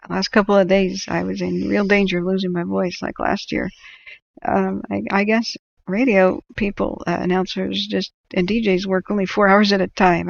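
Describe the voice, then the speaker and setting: Woman with a ragged, gravelly voice. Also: medium pitch, dull audio. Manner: rambling, halting, many fillers. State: ragged, strained throat; exhausted. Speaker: wildfire tracking livestream host. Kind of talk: casual livestream talk to viewers.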